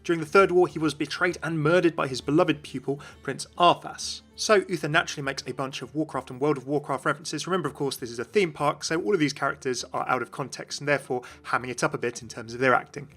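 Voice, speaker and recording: A man's voice: a little high, fast, hardly any pauses, a bit bright. A man hosting an explanatory video about game lore, with music behind him.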